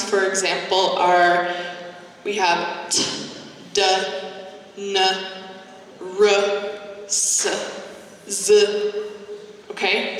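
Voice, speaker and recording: An American woman speaks slowly and patiently as she gives a lecture in a large hall. Her voice is recorded from far off and echoes heavily, with a long reverb trailing each word. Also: medium pitch, pushed and bright. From United States. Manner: slow, patient, demonstrating sounds aloud. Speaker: English teacher trainer. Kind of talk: phonetics lecture at a teacher conference.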